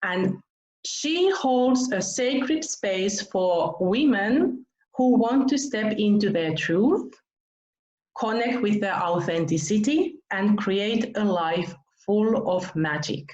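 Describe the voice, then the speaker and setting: A woman from Finland hosting an online interview, gushing with praise and laughing softly as she talks. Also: medium pitch, animated, slow. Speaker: interview host. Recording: roomy, dull video call audio.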